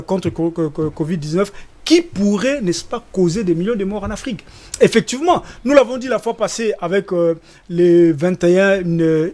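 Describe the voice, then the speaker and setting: A man from Congo speaking as a panelist in a televised debate, stumbling over repeated words as he argues. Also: high voice. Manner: hesitant, repeats words, animated.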